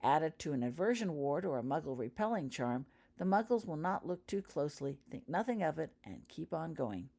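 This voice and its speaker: A woman's voice: low voice, sing-song, normal speed, gravelly, hardly any pauses, dark tone, full character voice. A woman voicing a knowledgeable, helpful male student prefect explaining things in a storybook reading.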